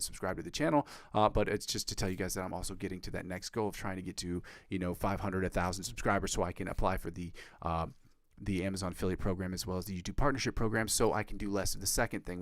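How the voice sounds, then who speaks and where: A man's voice: no room sound, studio quality. A congested man live streaming casually as a guitar streamer, rambling with lots of fillers. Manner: rambling, filler-heavy, upbeat pitch to viewers. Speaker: guitar livestreamer.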